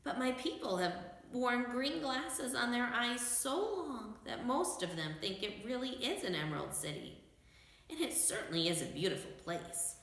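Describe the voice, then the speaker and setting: A woman's voice: mid-range voice, fairly bright tone, pitched above the performer's own voice. Woman reading as an apologetic old humbug wizard, echoey room. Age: older. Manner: meek, reasoning, confessional.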